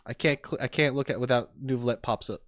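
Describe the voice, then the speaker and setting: A man chatting casually on a livestream as he reacts to game trailers, rambling in an animated, self-deprecating way. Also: medium pitch, fast talker, dry recording, clean studio sound.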